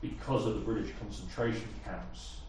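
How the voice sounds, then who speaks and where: Hesitant man, low voice, echoey room. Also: moderate pace, darkish tone. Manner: rambling, hesitant, many fillers. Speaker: audience member asking a question. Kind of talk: thinking aloud in discussion. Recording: echoey room from far off, faint noise.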